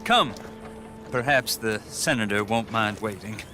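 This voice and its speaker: An actor playing an impatient, formal gentleman in a video game, with a clipped, stiff delivery. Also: lowish voice, a little slow, over music, close to an everyday voice. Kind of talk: video game cutscene acting.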